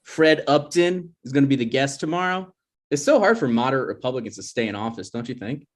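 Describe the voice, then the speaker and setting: A man's voice: mid-range voice, lively intonation, fairly quick, natural voice. A man playing an awkward, defensive young grad student with hesitant, rambling delivery in a comedy table read. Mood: eager.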